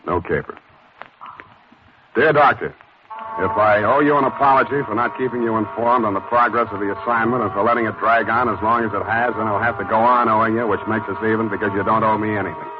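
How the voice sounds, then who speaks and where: An actor playing a hard-boiled, unflappable private detective in 1940s radio drama, with a dry, sardonic way of talking. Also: male voice, on the low side, fast talker, noisy background, natural voice. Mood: brisk, wry. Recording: dull old radio recording.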